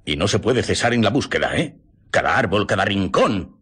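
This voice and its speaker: Husky, urgent doctor, deep and animated. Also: male voice, moderate pace, exaggerated voice. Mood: urgent, commanding. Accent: Castilian Spanish. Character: urgent, resolute male doctor leading a manhunt. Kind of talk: Spanish radio drama acting.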